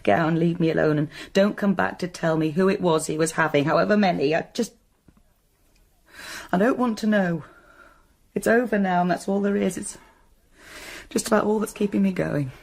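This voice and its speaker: An actress playing a bitter, wounded widow in a radio drama, sarcastic and confrontational. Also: female voice, on the low side, moderate pace, gravelly, long pauses, a little mellow. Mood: cold fury. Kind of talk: modern radio drama acting.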